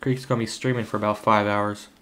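A man's voice: on the low side, little pitch movement, fast, fairly bright tone, soft background music. A young man giving casual, informal game reaction commentary, swearing and exclaiming as he goes. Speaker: gaming YouTuber.

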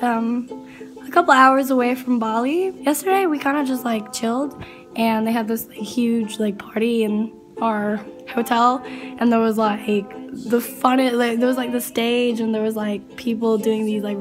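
A young woman with a raspy, strained voice, chatting casually in a travel vlog, rambling with lots of fillers, filmed on a phone at arm's length. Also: a little high. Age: young adult. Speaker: vlogger. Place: hotel room and outdoor terrace on an island. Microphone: phone camera at arm's length. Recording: ukulele music underneath.

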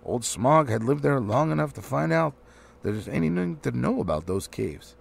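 A man's voice: mid-range voice, expressive, moderate pace, vocal fry, slightly rough, heavily acted. A man voicing a proud, stern male dwarf leader, pompous and impatient, in a storybook reading. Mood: doubtful, grave. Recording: faint background noise.

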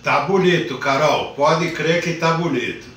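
A man's voice: fairly high pitch, unhurried, fairly bright tone, distant mic, a little hiss or hum. An older man hosting a casual live cooking show in his home kitchen, chatting unhurriedly and greeting viewers by name. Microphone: phone camera at a distance. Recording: roomy kitchen echo from afar.

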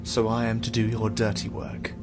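A man's voice: on the low side, monotone, moderate pace, lightly acted. A man playing a bitter, guilt-ridden survivor in a dystopian audio drama, with a brooding, questioning manner. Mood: bitter, challenging. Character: bitter, guilt-ridden male survivor doing dirty work. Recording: echoey reverberant hall under loud music.

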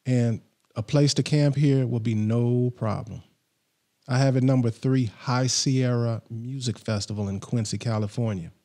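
Middle-aged man, podcast host, mellow dark tone, steady scripted read, close studio mic, small home studio. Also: medium pitch, a little slow. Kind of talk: scripted countdown list. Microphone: studio mic on boom arm, headphones on.

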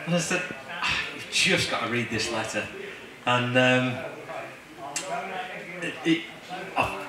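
A middle-aged man gives an informal introduction at an event. He speaks haltingly, at an ordinary pitch. The sound is very echoey and distant, with a crowd clearly audible. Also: lively intonation. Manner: hesitant, halting. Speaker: event presenter introducing a reader. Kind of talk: informal spoken introduction to an audience. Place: large marquee tent with seated audience. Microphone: handheld mic through speakers, filmed from afar.

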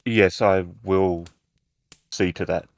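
A man voicing a grave, helpful village innkeeper in a tabletop game, with measured, formal speech. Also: deep voice, steady tone, no room sound.

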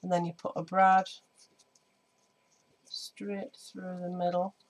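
A woman with a slightly croaky voice, chatting casually through a scrapbooking tutorial with lots of ums while she works at a craft table. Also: on the low side, little pitch movement, slow. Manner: chatty, many ums, hands busy while talking. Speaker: scrapbooking vlogger. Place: indoor home craft table. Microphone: overhead camera on desk, speaker off screen.

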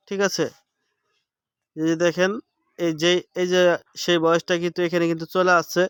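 A man narrates a casual how-to in a high, smooth voice. He talks fast and instructs step by step, often checking that the listener follows. The recording is dry and close. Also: a bit bright, no echo. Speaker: app tutorial host.

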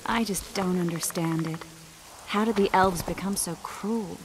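Actress as a low-voiced, wistful young sorceress. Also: female voice, unhurried, lightly acted. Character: tender, thoughtful young sorceress. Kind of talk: video game dramatic dialogue. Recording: steady rain and ambient noise behind. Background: rain.

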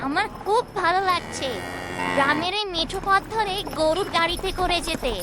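A woman voicing an excited, curious little village girl in a fairytale cartoon, eager and wheedling. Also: very high-pitched, normal speed, noisy background. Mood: delighted, eager. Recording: music behind the voice.

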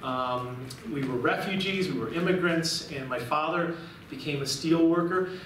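A middle-aged man from Cuba giving a public lecture, talking warmly with frequent restarts, recorded from far away in a large room. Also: a little high, normal speed, slight background noise. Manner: warm, rambling with frequent restarts. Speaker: guest lecturer. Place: large room with projection screen, indoors. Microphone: far camera. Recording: very echoey room, recorded from afar.

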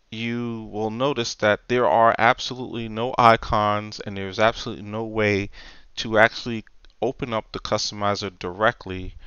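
A man with a fairly low, smooth voice walks through a software tutorial step by step. He explains things off the cuff, pausing and repeating words as he goes. The recording is close and dry, with a slightly dull top end. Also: dry recording. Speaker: tutorial presenter. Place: indoors, dry voice-over for screen recording.

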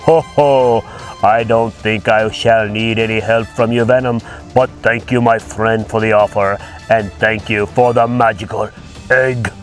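A pompous villain warlord gloats in a low, slow voice, breaking into booming ho-ho laughs and talking about himself in the third person, as a man puts him on during toy play. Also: fairly even intonation, soft background music, close to an everyday voice. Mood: gloating.

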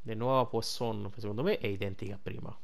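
A man's voice: lowish voice, steady tone, fast talker, vocal fry. A man giving casual, blunt, slangy commentary as he plays a video game.

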